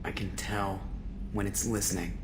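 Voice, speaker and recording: A man's voice: low-pitched, flat delivery, moderate pace, crowd noise, natural voice. A man playing a wisecracking, irritable starship pilot in a video game, talking in a wry, sarcastic way. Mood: wary, annoyed. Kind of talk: video game character acting.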